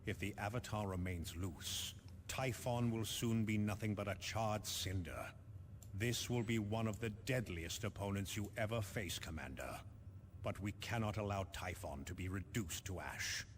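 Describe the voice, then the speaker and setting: An actor playing a grave military officer, giving formal, dramatic mission briefings in a video game. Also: male voice, on the low side, moderate pace, slight background noise, natural voice. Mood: urgent, grave.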